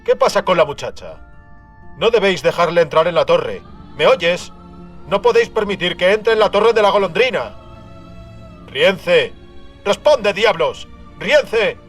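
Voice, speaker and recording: A man's voice: high-pitched, lively intonation, measured pace, pauses often, soft background music, voice pushed up. Man doing an imperious, impatient sorcerer. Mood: impatient, alarmed. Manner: commanding, impatient. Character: imperious sorcerer demanding a report through magical device.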